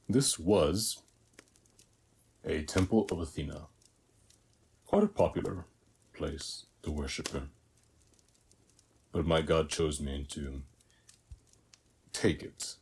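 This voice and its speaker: An actor playing a calm, hospitable warrior who guards a seized temple, speaking in an unhurried, measured way in an audio roleplay. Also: male voice, a bass voice, a little hiss or hum. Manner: unhurried, measured, with long pauses between short phrases. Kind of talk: scripted audio roleplay, speaking softly to the listener.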